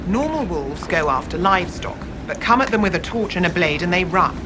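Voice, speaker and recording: An actor playing a worried, weary farm horsemaster in a fantasy game, grave and earnest. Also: male voice, high-pitched, expressive, a little fast. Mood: grave, troubled. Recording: game audio with ambient noise.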